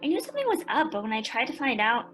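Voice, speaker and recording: A woman's voice: fairly high pitch, big pitch swings, racing, music in the background. An actress in a radio play narrating as a young sister telling her family's story. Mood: intrigued. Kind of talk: dramatic scripted narration.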